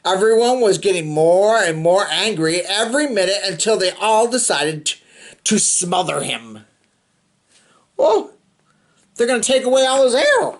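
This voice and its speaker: A reader performs a picture book for children with a theatrical, playful touch. The pitch swings widely and the pace is slow. The narration mixes careful reading with informal, chatty reactions. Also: sing-song. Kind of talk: expressive read-aloud with chatty asides.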